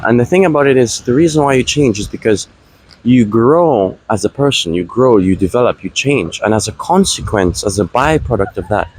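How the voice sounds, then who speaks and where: A man in his late twenties talks straight to the camera in a loose, rambling vlog. His voice is husky and sits in a middle pitch. He often restarts his sentences mid-thought. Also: rough recording. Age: 20s. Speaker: vlogger. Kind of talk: casual talking-to-camera vlog. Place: outdoors at a beach.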